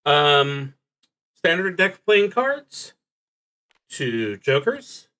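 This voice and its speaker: A man streaming solo tabletop roleplaying, explaining the game casually in an earnest but halting way with long pauses. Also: on the high side, very animated, bright, forceful tone.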